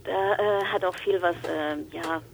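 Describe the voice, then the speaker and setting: A young woman from Franconia with a Franconian accent softened by Swabian traces, a guest voice actor chatting casually and eagerly, often laughing. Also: lowish voice, steady tone, unhurried, vocal fry, a loud crowd. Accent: Franconian German with Swabian traces. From Franconia, Germany. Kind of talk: casual chat.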